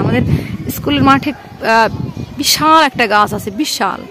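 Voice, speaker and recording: A woman with a Bangladeshi accent giving casual vlog commentary outdoors and chatting to children as she goes. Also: sits high. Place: outdoors on open grassy ground by a school. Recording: outdoor ambient noise, faint.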